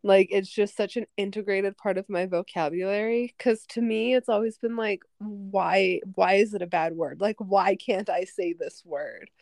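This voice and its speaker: Calm young woman, dry close sound. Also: mid-range voice, moderate pace, vocal fry, few pauses, no echo. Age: 20s. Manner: calm, fills speech with "like". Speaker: podcast guest. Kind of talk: casual chat.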